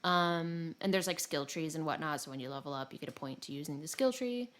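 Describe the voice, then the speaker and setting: A woman's voice: deep voice, a little fast, fairly bright tone. A woman chatting casually and informally about video games as a podcast co-host, rambling and self-deprecating.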